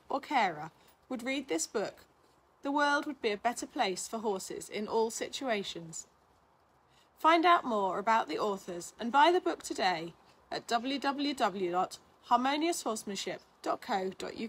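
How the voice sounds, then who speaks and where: A woman reading a scripted article aloud in a measured, instructional manner. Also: a little high, a bit bright, breathy edge, poor audio. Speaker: reader of a blog, physiotherapist.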